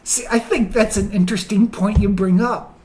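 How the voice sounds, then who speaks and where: A woman's voice: mid-range voice, fairly even intonation, moderate pace, mellow, slight background noise. A woman hosting a conversational interview, introducing her guest and asking questions with short encouraging replies. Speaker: host.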